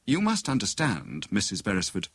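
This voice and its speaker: A man voicing a haggard, greying upper-class British gentleman, stubbornly loyal and emphatic in his distress, for an audiobook. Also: fairly low pitch, wildly swooping pitch, moderate pace, husky, no echo, full character voice. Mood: earnest, distressed.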